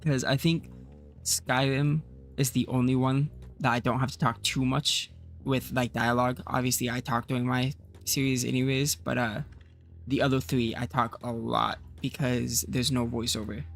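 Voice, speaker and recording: Male streamer, tired and slightly rough, casual thinking-aloud commentary, creaky edge. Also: medium pitch, level tone, normal speed, a bit bright, faint music behind. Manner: rambling, thinking aloud with fillers. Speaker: game streamer. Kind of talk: casual let's-play commentary.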